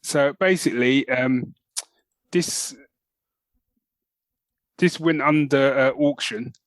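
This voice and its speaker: A man's voice: mid-range voice, slow, no echo. A man, co-hosting a podcast with rambling, colloquial, casual chat about the news. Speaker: podcast co-host.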